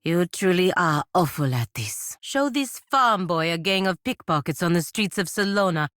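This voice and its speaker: An actress playing a proud, sardonic fantasy warrior-diplomat in a video game, speaking formally and with dry scorn. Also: female voice, lowish voice, expressive, normal speed, a bit bright, dry recording. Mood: dry, scornful. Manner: formal, clipped, dryly scornful. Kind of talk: video game character dialogue.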